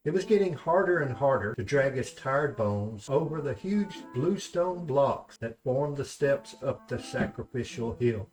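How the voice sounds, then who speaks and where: Male narrator, mid-pitched and animated, for a scripted humorous fantasy audiobook read by its author. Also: rough recording. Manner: storytelling. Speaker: author reading own story.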